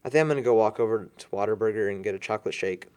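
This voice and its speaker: A man's voice: lowish voice, racing, a little mellow, clean tone, lightly acted. A man acting as a blunt, casual young party guest who is soon to be a father, in film dialogue. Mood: defiant.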